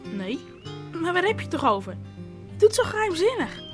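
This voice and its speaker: Eager young boy, very high and animated, radio play. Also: moderate pace, soft background music, heavily acted. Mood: puzzled. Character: eager teenage boy Adriaan, excitable.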